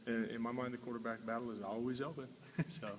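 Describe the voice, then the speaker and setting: A man in his forties, a college football head coach, giving a halting postgame interview indoors and repeating his words often. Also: mid-range voice, little pitch movement. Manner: halting, repeats words, many filler sounds. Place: indoor room before a sponsor backdrop. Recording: long room reverb with faint hiss.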